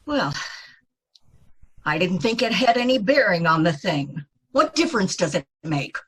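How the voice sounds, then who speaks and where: A woman in a radio drama playing a bitter, grieving grandmother who is widowed and alone, speaking in a halting, resentful way. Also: fairly low pitch, big pitch swings, breathy edge, full character voice. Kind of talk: 1950s radio drama acting.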